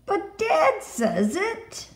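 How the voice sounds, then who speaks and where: A woman voicing a mischievous little boy making whiny, drawn-out excuses in a storybook reading. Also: extremely high pitch, huge pitch swings, pitched above the performer's own voice, exaggerated voice. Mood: protesting.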